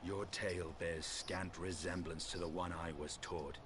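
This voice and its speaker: Deep, cold, skeptical fantasy warrior. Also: male voice, fairly even intonation, a little slow. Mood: cold, distrustful. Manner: cold, sardonic, formal speech. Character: stern, skeptical warrior challenging a dragon. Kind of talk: dramatic fantasy game acting. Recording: noisy ambience under game audio.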